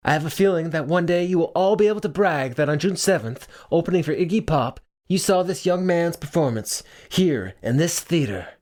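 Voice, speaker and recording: A man's voice: fairly high pitch, expressive, brisk, hardly any pauses, a little mellow, voice pushed up, natural voice. A man voicing a sultry woman singer who grandly announces an act, in an audiobook. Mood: proud, showy.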